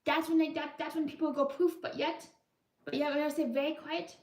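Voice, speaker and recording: A young woman hosting a live art stream, chatting casually and rambling with many restarts in a high-pitched voice. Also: flat delivery, brisk, darkish tone. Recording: roomy echo, mic far off.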